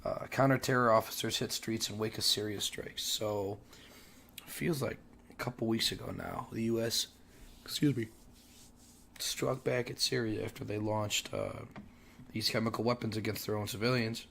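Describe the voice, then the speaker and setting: A young adult man hosting a solo commentary show while sick and losing his voice, clearing his throat often and pausing on fillers as he restarts his sentences. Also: fairly low pitch, steady tone, fairly bright tone, a little hiss or hum. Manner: halting, restarts mid-sentence. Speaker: podcast host.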